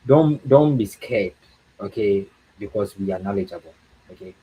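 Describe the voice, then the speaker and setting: Husky Ghanaian man, earnest and repetitive. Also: fairly low pitch, expressive, moderate pace, dark tone, poor audio. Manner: earnest, repeats words for emphasis, laughs often. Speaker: guest speaker. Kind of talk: motivational livestream talk.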